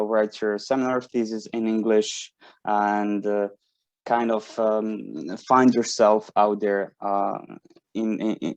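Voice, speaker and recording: A man's voice: on the low side, a little mellow, dry recording. A man in his twenties from Bosnia, a university student speaking informally to prospective students, hesitant, with many fillers and restarts. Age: 20s. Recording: dry, dull lo-fi sound.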